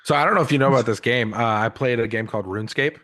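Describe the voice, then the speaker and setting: Brief: male podcast co-host, rambling storyteller, mid pitch, many ums. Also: rapid-fire, a bit bright. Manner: rambling, full of filler words. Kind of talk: casual chat.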